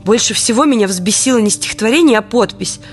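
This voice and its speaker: A woman's voice: on the high side, lively intonation, fast talker, close to an everyday voice. A woman playing a sardonic, indignant city dweller in a documentary audio play, conversational and ironic as she recounts everyday life. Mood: wry annoyance. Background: music.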